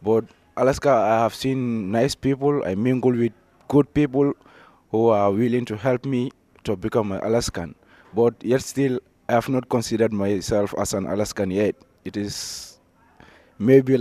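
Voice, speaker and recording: A man's voice: on the low side, normal speed, mellow, no echo. An eighteen-year-old man from Gambia with a Gambian accent, answering informally as a student in an interview. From Gambia, West Africa. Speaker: high school student being interviewed.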